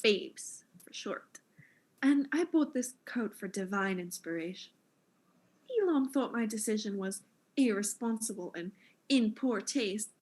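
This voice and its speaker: An actress playing a vain, self-important fashion mogul in a comic audio drama, arch and condescending in manner. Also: female voice, on the high side, natural voice.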